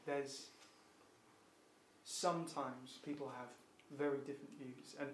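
A man speaking at a middle pitch in a reflective group discussion. He talks slowly and hesitantly, restarting sentences and leaning on fillers. The room gives his voice a roomy echo. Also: fairly even intonation, slight background noise. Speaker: discussion participant.

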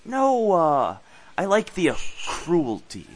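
A man's voice: on the high side, wildly swooping pitch, slow, exaggerated voice. A man playing an earnest, chatty park ranger in his twenties on a date in a romantic comedy audio play, hesitant, rambling and blunt. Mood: chatty, sheepish. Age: 20s.

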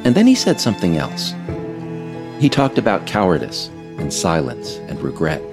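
An American man reading scripted narration in a measured, emphatic storytelling way. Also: low-pitched, wildly swooping pitch, music in the background. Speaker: author reading own work, narrator.

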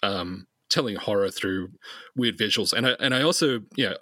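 Male podcast guest, fairly low and bright, close dry sound, enthusiastic rambling talker. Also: moderate pace, no room sound. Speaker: podcast guest, comic creator. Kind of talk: casual chat.

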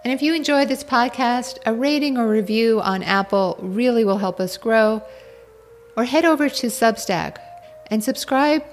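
A woman hosting a podcast, who asks clear questions and reads a measured outro. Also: fairly high pitch. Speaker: podcast host. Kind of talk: interview and scripted outro.

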